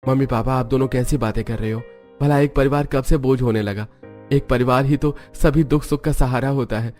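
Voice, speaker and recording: A man performs a poor, hardworking labourer in a cartoon family drama. He sounds weary yet devoted, in a middle-pitched voice. Faint music plays behind him. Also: fairly even intonation, fairly quick, a little mellow, natural voice. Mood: earnest, reassuring.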